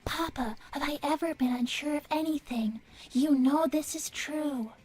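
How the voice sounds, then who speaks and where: A woman voicing a solemn little girl android, a villain's devoted AI daughter, speaking in a measured, formal, earnest way on a livestream. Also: high voice, darkish tone, soft background music. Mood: grave, insistent. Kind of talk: improvised in-character livestream Q&A.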